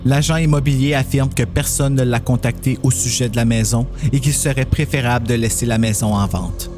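A man's voice: mid-range voice, steady tone, fairly quick, music in the background. A man narrating a scripted horror story as a podcast host.

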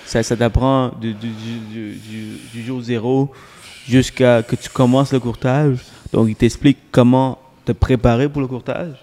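Québécois man host, dark and flat delivery, relaxed, stumbles on repeats. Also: medium pitch. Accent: Québécois French. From Sri Lanka. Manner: relaxed, halting with repeated words. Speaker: podcast host. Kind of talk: casual interview chat. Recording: reverberant room.

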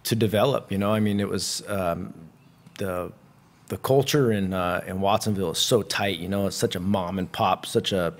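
A man answering interview questions casually, relaxed and filling his talk with "you know" and "I mean". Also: sits low, moderate pace. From Santa Cruz, California. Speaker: jiu-jitsu instructor and gym owner.

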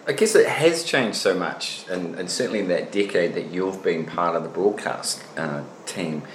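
Male interviewer, low voice, echoey room sound, measured and halting questions. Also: lively intonation, slight background noise.

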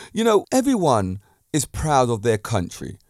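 A man's voice: mid-range voice, huge pitch swings, unhurried, darkish tone, no room sound, studio quality. Assured, emphatic man. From Britain. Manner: self-assured, emphatic, with rhetorical questions. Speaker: podcast host. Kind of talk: motivational monologue.

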